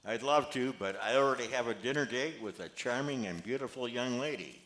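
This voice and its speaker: A man's voice: mid-range voice, a little fast, fairly bright tone, close to an everyday voice. An older man reading the part of a genial, modest grandfather in a play, plainly and a little hesitantly. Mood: genial, teasing. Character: genial, modest grandfather who organizes charity work. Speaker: amateur actor reading a play. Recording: echoey, roomy amateur recording.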